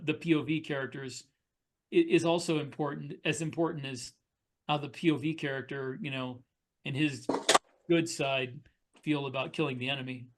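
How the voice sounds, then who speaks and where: A man co-hosting a podcast as an author, talking casually and haltingly about writing fiction. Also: a little high, a bit bright.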